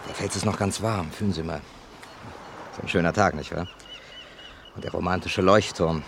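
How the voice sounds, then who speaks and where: An actor playing a wry writer who narrates his own murder mystery in a measured German radio drama style. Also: low voice, vocal fry, a little hiss or hum. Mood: dry, courteous. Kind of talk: 1950s-80s German crime radio drama acting.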